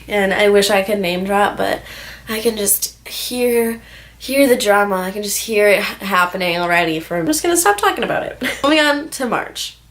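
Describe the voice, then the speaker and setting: A woman's voice: mid-range voice, expressive, moderate pace, a bit bright. Candid, sweary young woman vlogging. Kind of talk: casual rambling personal vlog, chatty storytelling.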